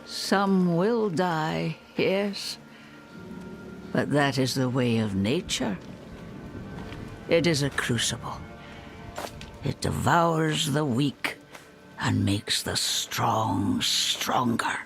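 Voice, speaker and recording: An actor playing a solemn, ruthless druid fanatic in a video game, theatrical and often sinking into whispers. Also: male voice, medium pitch, expressive, gravelly, a bit bright, full character voice. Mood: calm, fanatical. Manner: slow, theatrical, breaking into whispers. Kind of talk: dramatic video game acting. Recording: music behind the voice.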